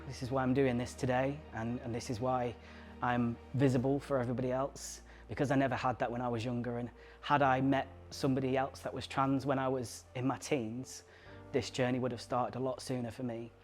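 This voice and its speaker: Earnest man with a flat delivery. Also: medium pitch, faint music behind. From Surrey, England. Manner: earnest, halting, moved. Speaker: interviewee. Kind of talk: emotional personal testimony.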